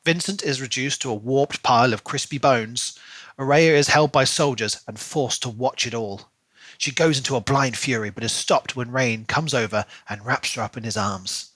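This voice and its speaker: A man's voice: medium pitch, normal speed, fairly bright tone, dry recording. Male podcast co-host for book talk, mid-range voice, very dry recording. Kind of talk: book discussion podcast, reading a plot recap then casual chat.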